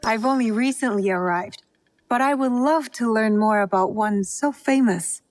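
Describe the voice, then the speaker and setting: A woman's voice: fairly high pitch, moderate pace, faint music behind, natural voice. Guarded, polite young-sounding newcomer, acted by a woman. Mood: polite, curious. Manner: deferential, careful, formal. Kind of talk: video game cutscene acting.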